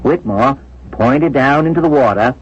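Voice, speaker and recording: Male police inspector, played by an actor, mid-range husky voice, solemn, measured, official, dull vintage radio-drama sound. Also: medium pitch, no echo, lightly acted. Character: grave, considerate police inspector breaking bad news. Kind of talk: 1970s radio drama acting. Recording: muffled vintage radio broadcast.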